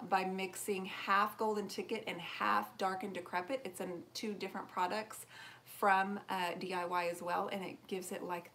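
A woman's voice: mid-range voice, a bit bright. A woman in her forties with a mid-range voice talks in a rambling, friendly way during a live painting stream, drifting toward and away from the camera mic as she works. A faint background hiss sits under her voice in an indoor home workshop. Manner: chatty, rambling, answers viewer comments aloud. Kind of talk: casual live-stream tutorial chat. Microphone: camera mic, moves toward and away from it.

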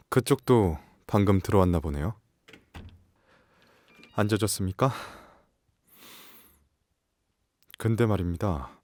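An actor playing a sharp, condescending wolf shapeshifter in an audio drama, questioning with sardonic formal politeness. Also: male voice, low-pitched, dry recording, clean studio sound. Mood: suspicious, cool.

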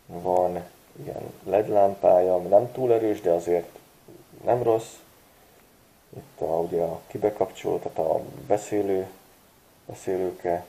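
A man in his forties giving a relaxed, chatty gadget review, pausing often with filler sounds, recorded on a camera mic. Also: sits low, rough recording. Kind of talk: casual product review vlog.

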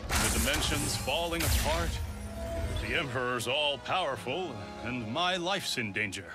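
Man playing video game rogue, reluctant hero, wry, dramatic pauses, slow delivery, lively swooping pitch. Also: loud music behind the voice, lightly acted. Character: self-preserving male rogue, reluctantly turning hero. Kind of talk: animated video game acting.

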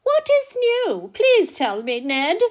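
Casting: woman playing chirpy, eager busybody from a picture book; very high, swooping sing-song. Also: very animated, takes it slowly, full character voice. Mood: eager, nosy. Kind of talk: children's picture book read-aloud.

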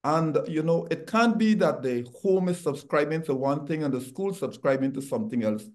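Middle-aged Guyanese man, slightly high voice. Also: expressive, normal speed, a little mellow. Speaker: talk show panelist. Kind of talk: earnest panel discussion. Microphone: video call.

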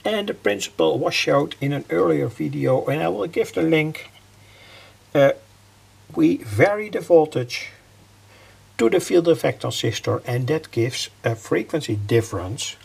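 An older man giving an informal technical explanation as a hobbyist presenter, halting and repeating his phrases. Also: fairly high pitch, animated, darkish tone. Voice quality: gravelly, husky.

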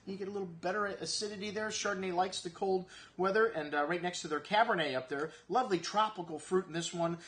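A man's voice: sits high, fast talker, fairly bright tone. A man in his forties reviewing wines on camera in a wine shop, chatting casually with many fillers and running through tasting notes. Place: wine shop set with shelves, indoors.

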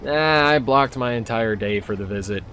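A man's voice: medium pitch, normal speed, a bit bright, a little hiss or hum, natural voice. A man playing a young park ranger in an audio drama, casual and easygoing. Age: young adult. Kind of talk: audio drama acting, conversational.

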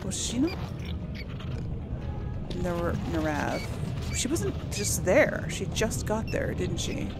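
Brief: woman relaxed let's-play host, rambling and friendly-casual, plays under music. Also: lowish voice, slow, long pauses. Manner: chatty, thinks aloud, voices the player character. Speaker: gameplay host. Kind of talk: casual gameplay commentary. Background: game music.

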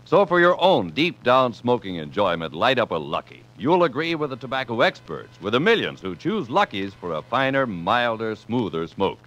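An American man announces a 1950s radio commercial. His mid-pitched voice is animated and varied, and the vintage recording is muffled. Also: moderate pace, hardly any pauses.

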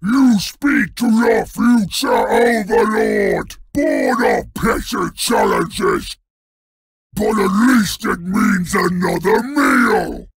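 A man's voice: sits high, huge pitch swings, extremely slow, shouting, a little hiss or hum, exaggerated voice. An actor voicing a hulking, boastful, ever-hungry male troll king for a fantasy video game, growling and bellowing in blunt, broken speech. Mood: imperious, threatening. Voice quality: gravelly, growling.

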